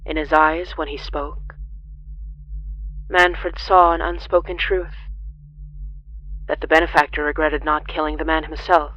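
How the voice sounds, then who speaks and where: A narrator reading a scripted horror story in a solemn, deliberate way with dramatic pauses. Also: medium pitch, highs cut off, a little hiss or hum. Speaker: narrator of a horror fiction podcast.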